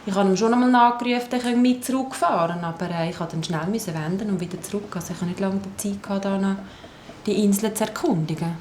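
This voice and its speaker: A woman's voice: mid-range voice, fast, a little mellow, roomy sound, a little hiss or hum, natural voice. An actress playing a defensive, hesitant boat woman with a Swiss German accent in a naturalistic radio play. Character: defensive boat woman being questioned about a missing boy.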